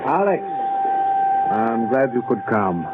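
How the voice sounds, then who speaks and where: A man playing a shaken doctor who speaks in a halting, somber way, in a radio drama. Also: medium pitch, very animated. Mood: shaken, grave. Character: grave, shaken small-town male doctor. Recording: very noisy, tinny old-radio sound.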